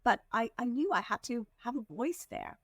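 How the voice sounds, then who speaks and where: A woman from India, a fundraising executive, giving earnest, measured answers as a podcast guest and slowing down to stress her points. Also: on the high side, expressive, fairly bright tone, husky, no room sound, clean studio sound. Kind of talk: reflective interview answers.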